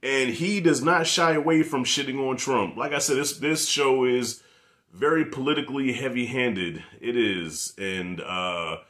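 A man in his thirties with a New York accent, giving a casual, profane, animated review rant to camera in his living room. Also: medium pitch, unhurried. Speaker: movie reviewer.

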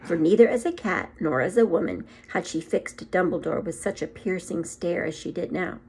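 A woman in her forties reading a story aloud to children in an expressive, teacherly way. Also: lowish voice, normal speed, husky. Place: small room at home.

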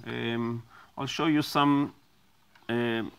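A middle-aged man walks through a product demonstration at an unhurried, deliberate pace, pausing often with ums and restarting his phrases. His pitch sits in an ordinary middle range. He is recorded close on a lapel mic in a small studio. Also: fairly even intonation, gravelly, a bit bright, smooth. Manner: slow, halting, many ums and restarts.

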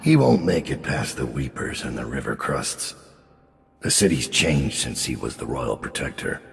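A grim, menacing assassin boss for a video game. The voice is extremely low and thick with gravel, measured and world-weary, with dry threat in it. A long reverb hangs around it. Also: male voice, very animated, exaggerated voice. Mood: cold menace. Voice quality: gravelly, very deep. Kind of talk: video game character acting.

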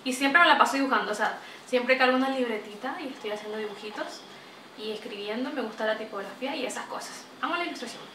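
Bright chatty young Venezuelan woman. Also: fairly high pitch, quick pace, recorded from a distance, a little hiss or hum. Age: young adult. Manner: chatty, animated, gestures a lot. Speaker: vlogger. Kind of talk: casual chat to camera. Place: small bright room indoors. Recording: roomy echo, voice a bit off-mic.

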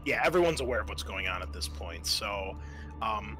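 A man acting as game master, narrating a tabletop game in a halting, conversational way. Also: lowish voice, lively intonation, bright, forceful tone, faint music behind.

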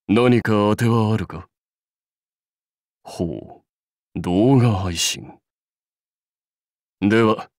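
An actor playing a proud, seasoned samurai warlord in a game, speaking in stately, measured, archaic phrases with wry authority. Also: male voice, on the low side, dry recording, studio quality. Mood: amused, eager. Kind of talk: scripted game character lines.

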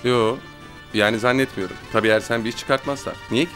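An actor playing an easygoing, joking office colleague in a TV drama. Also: male voice, medium pitch, fairly even intonation, quick pace, fairly bright tone, soft background music, lightly acted. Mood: casual. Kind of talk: TV drama acting. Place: office, on set.

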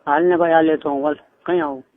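High-pitched Kathiawari man on tinny phone line. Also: steady tone, fast talker. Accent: Kathiawari Gujarati. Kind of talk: casual private phone chat. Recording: tinny phone call recording.

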